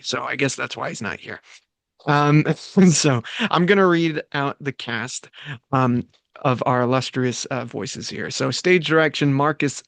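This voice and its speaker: A man's voice: mid-range voice, vocal fry, fairly bright tone, no room sound. A man hosting a table read, moving between casual, playfully crude banter and reading out stage directions. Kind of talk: casual, joking group chat and script narration.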